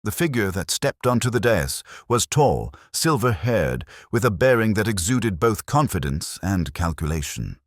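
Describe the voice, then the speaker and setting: A man reading a detective story as its narrator, in a measured, formal, literary manner. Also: lowish voice, big pitch swings, no echo, studio quality. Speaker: audiobook narrator. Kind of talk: scripted first-person detective story narration.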